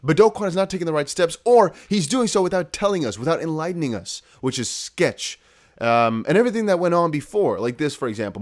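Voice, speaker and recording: A man from the Netherlands with a Dutch accent, chatting to viewers in a casual, emphatic livestream commentary. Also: medium pitch. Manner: chatty, emphatic, repeats points, addresses viewers directly. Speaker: crypto news streamer.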